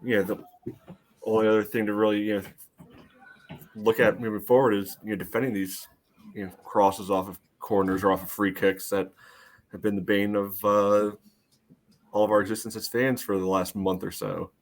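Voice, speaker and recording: A man with a fairly low voice gives casual sports analysis as a podcast co-host. He hedges as he goes, padding his points with frequent "you know"s. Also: little pitch movement, normal speed, long pauses. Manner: hedging, peppers talk with "you know". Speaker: co-host of a soccer fan podcast.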